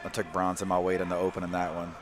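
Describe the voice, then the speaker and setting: A man in his late thirties talking in a relaxed, modest way as a guest commentator beside the mat. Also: sits low, rapid-fire. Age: 30s. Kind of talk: casual mat-side commentary. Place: grappling tournament mat-side.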